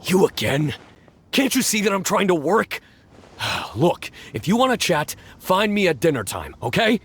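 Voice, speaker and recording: A man's voice: sits high, very animated, a little slow, fairly bright tone, a little hiss or hum, exaggerated voice. A man playing an irritable, busy worker in a video game. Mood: exasperated.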